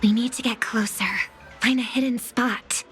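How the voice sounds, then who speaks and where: A woman voicing an earnest, watchful and worried young singer in a video game, soft and hesitant in manner. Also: a little high, fairly even intonation, normal speed, faint music behind, lightly acted. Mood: wary. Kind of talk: scripted game dialogue, inner monologue.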